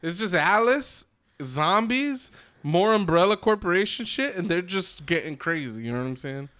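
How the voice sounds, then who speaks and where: Higher-pitched man, casual and emphatic. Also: lively intonation, moderate pace, fairly bright tone. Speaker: podcast co-host. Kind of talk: casual opinionated group chat.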